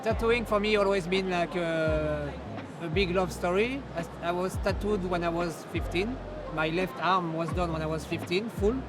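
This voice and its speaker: French-accented man, high voice. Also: normal speed, background noise. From France. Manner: hesitant, many "uh" fillers. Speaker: tattoo artist. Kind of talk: interview at a convention. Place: busy convention hall.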